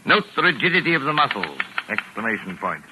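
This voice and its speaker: An actor playing a pompous, touchy mystery novelist with precise, exasperated formal diction, in 1940s radio drama style. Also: male voice, mid-range voice, big pitch swings, fast, very noisy, close to an everyday voice. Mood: dictating. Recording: raspy vintage 1940s radio recording.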